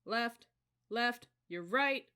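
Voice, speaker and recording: Young male cadet leader, acted out by a story reader: raised pitch, forceful, commanding, chanting and hollering orders in sing-song rhythm. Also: drawn-out words, clean tone. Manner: chanting running cadences and barking orders.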